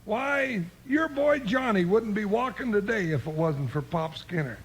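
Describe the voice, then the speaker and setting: Big folksy deputy sidekick, very high husky voice, homespun, earnest, 1950s radio western. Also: male voice, expressive, unhurried, heavily acted. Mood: earnest, stubborn. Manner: drawling frontier slang, plain-spoken and earnest.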